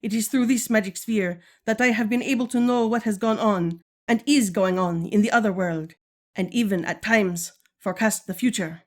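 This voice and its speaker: A regal Amazon queen, played by a woman, speaks with stately pride. She is formal and measured, like a mother instructing her daughter in a radio serial, at a middling female pitch. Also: close to an everyday voice. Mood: proud, stately. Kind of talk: 1940s-style radio serial acting.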